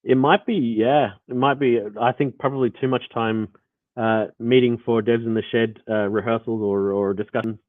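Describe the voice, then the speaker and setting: A man's voice: medium pitch, fairly even intonation, moderate pace, no echo. A man with an Australian accent explaining code in a rambling live walkthrough, full of fillers and restarts. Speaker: developer presenting. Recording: tinny phone-like audio line.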